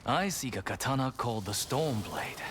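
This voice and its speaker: A man playing a wandering storyteller in a video game, talking in a measured, theatrical, tale-telling way. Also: medium pitch, lively intonation, background noise, lightly acted. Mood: intrigued, explanatory. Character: wandering male storyteller seeking a legendary sword.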